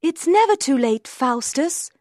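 A woman's voice: high voice, lively intonation, a little slow, darkish tone, no room sound, studio quality, voice pushed up. A woman voicing an earnest, hopeful good angel in a storybook, pleading in ringing tones. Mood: earnest, urging.

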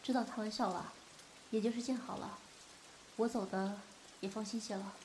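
A woman's voice: mid-range voice, steady tone, moderate pace, a little mellow, slight background noise, close to an everyday voice, low-quality recording. A woman playing a loyal, protective young friend in an audio drama, earnest and reassuring. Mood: gentle, concerned. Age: young adult. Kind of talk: Chinese audio drama acting.

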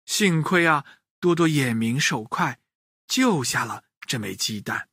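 A man narrating a children's story in an animated, expressive way. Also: medium pitch, unhurried, vocal fry, slightly rough, no room sound, clean studio sound.

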